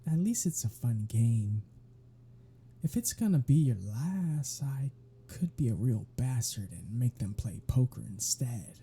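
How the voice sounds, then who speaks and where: Wry, protective male vampire played by a man for intimate ASMR roleplay; unhurried, raspy-soft delivery with dry flirtatious banter. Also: mid-range voice, expressive, heavily acted. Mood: playful, caring.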